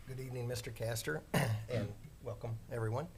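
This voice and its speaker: A man in his forties giving measured, orderly answers at a school board candidate forum. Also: medium pitch, darkish tone, slight background noise. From Missouri, USA. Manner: measured, orderly, with some fillers.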